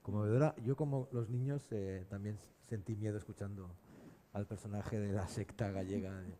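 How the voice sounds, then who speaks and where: Very dark-voiced, low male moderator. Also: steady tone, brisk. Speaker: panel moderator. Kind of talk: thoughtful public discussion. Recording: noisy live event room.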